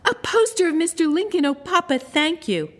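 A woman's voice: very high-pitched, expressive, normal speed, a bit bright, pitched above the performer's own voice. A woman voicing an earnest eleven-year-old girl in a storybook reading. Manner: earnest, pleading.

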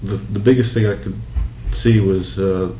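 Middle-aged male interviewee from Hawaii, relaxed dark tone, casually telling memories, roomy sound with faint noise. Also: lowish voice, moderate pace. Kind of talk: casual oral history interview, reminiscing. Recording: echoey room with background noise.

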